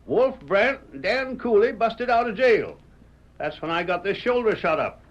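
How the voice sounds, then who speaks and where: An actor playing a talkative, loyal frontier deputy with a drawling rural dialect in a 1930s radio drama. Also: male voice, sits high, very animated, unhurried, heavily acted. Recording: muffled old radio recording.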